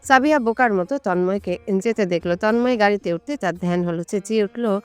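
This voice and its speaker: A woman's voice: mid-range voice, brisk, clean tone, no echo, soft background music. A woman reading a scripted romantic story as its narrator.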